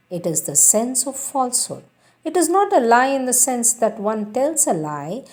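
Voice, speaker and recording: A woman reading spiritual teachings aloud in a deliberate, emphatic, devotional way. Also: medium pitch, a little mellow. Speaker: reader of spiritual texts. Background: music.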